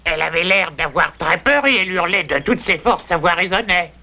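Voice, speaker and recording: Sly shirker sidekick: animated, sharp-edged cartoon voice, mocking delivery. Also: male voice, high voice, fairly quick, gravelly, exaggerated voice. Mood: defensive. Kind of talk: dubbed cartoon acting.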